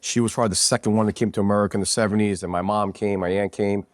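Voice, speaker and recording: A man's voice: on the low side, fairly even intonation, racing, no room sound, studio quality. A man in his forties from New Jersey, a podcast guest telling rambling, profane stories in a casual chat at a pizzeria. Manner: rambling, profane, street-talking. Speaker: podcast guest, business owner.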